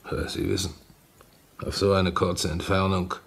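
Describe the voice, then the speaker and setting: An actor playing a shaken, grave train driver in a radio play, speaking deliberately. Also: male voice, low voice, little pitch movement, gravelly, a bit bright, slightly rough, heavily acted. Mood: shaken, defensive.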